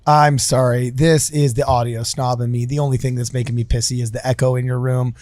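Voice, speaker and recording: A man's voice: mid-range voice, little pitch movement, brisk, darkish tone, no echo. A man moderating a casual reaction panel in a jokey, slangy way, close on the microphone. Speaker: panel host.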